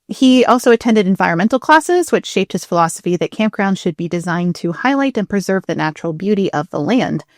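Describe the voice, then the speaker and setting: A woman's voice: medium pitch, no echo, studio quality. A woman co-hosting a podcast, explaining things in a casual, chatty, informal way with interest in the details. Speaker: podcast co-host.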